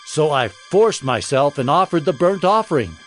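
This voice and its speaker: A proud but anxious warrior king, pitched high for a man. He speaks in urgent, declamatory lines in a biblical audio drama, with faint music behind. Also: very animated, normal speed. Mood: defensive.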